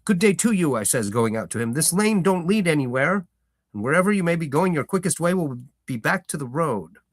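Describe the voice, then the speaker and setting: A man voicing a proud, stubborn old hobbit farmer who tells his tale with slow relish and rustic grammar. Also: a little high, lively intonation, no echo, pitched above the performer's own voice. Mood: indignant. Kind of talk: reading a novel aloud.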